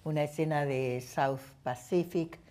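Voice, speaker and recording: An older woman, a guest actress at a studio lunch table, telling stories with lively energy and repeating words when excited. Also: sits low, unhurried. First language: French. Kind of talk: casual chat at a lunch table. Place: TV studio dining set.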